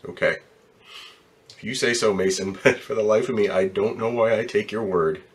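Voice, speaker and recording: A man's voice: fairly low pitch, steady tone, normal speed, natural voice. A man acting in a radio drama as a troubled, weary, plain-spoken ambulance driver, stammering and repeating his words. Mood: wistful, bitter.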